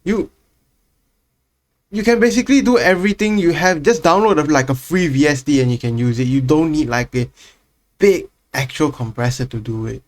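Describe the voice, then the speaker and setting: A man's voice: on the high side, moderate pace. Blunt chatty man reacting. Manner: blunt and chatty, swears, explains gear. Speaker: reaction channel host. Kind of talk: casual reaction commentary.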